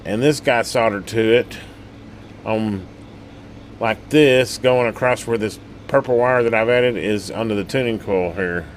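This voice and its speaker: A man's voice: on the low side, a little slow. A man giving a casual, rambling workbench demonstration in a folksy, colloquial way, like a hobbyist showing a repair. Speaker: radio repair hobbyist and channel host. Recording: steady background hum and noise.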